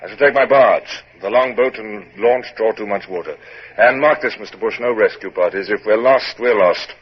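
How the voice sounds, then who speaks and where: An actor playing a resolute British naval captain in a 1950s radio drama, crisp and authoritative as he narrates and gives orders. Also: fairly low pitch, normal speed. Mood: stern. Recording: noisy muffled old radio recording.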